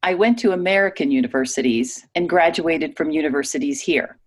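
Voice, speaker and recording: A woman reading a guest's typed interview answers aloud in a clear, even manner. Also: low voice, fairly quick.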